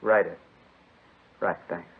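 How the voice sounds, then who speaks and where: An actor playing a steady male police inspector in an old radio crime drama, understated and matter-of-fact. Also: sits low, takes it slowly, noisy background. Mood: casual, businesslike. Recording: muffled, phone-like vintage radio recording.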